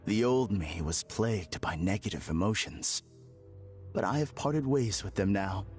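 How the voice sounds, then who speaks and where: An actor playing an unsettlingly calm, formal villain in a game cutscene, speaking in a measured, courteous way. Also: male voice, on the low side, soft background music. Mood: serenely polite. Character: eerily serene polite man, unsettling villain. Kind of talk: video game cutscene dub.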